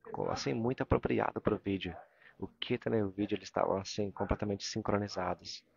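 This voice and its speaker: A man with a Brazilian Portuguese accent gives a live interpretation of a morning religious talk, halting and repeating phrases as he searches for words. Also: on the low side, creaky, dull audio. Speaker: interpreter of a spiritual discourse.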